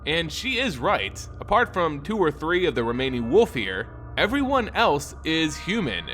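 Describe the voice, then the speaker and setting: A man narrating a scripted fantasy story and recap in a dramatic, expressive way. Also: fairly high pitch, moderate pace, soft background music. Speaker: narrator and host of a lore channel.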